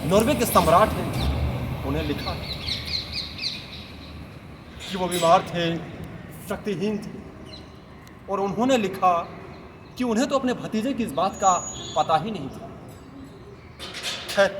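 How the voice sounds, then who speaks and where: A man playing a newly crowned king who is formal, grieving yet self-satisfied, in a deliberate, declamatory stage monologue with dramatic pauses. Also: high-pitched. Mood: commanding. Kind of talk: amateur theatrical monologue in Hindi translation. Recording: noisy distant recording with outdoor ambience.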